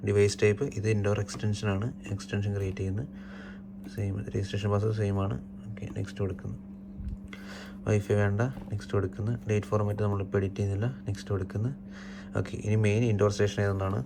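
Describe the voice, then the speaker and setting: A man's voice: lowish voice, completely flat delivery, fast, gravelly, noisy background. A man with a Malayali accent giving an unscripted, matter-of-fact technical walkthrough, one step at a time. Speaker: tutorial presenter, installer.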